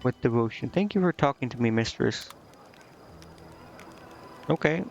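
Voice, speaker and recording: Male game streamer, medium pitch, casual, hesitant, rambling, muttering to himself, slightly muffled recording. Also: lively intonation, unhurried, faint music behind. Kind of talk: casual gameplay commentary, reading game dialogue aloud.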